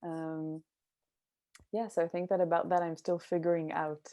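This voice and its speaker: A woman's voice: on the low side, little pitch movement, moderate pace, mellow, no room sound. A woman from Israel speaking as an interview guest, thoughtful and searching, with frequent ums and restarts. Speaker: guest, cultural mentor. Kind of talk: reflective interview talk.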